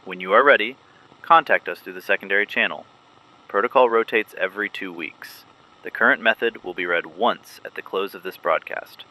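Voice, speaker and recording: Casting: man as underground radio resistance announcer, deepish and very bright-edged, firm, methodical, clipped delivery, dystopian drama. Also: normal speed, rough recording. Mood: firm, instructive. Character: male resistance broadcaster, guarded, firm, methodical.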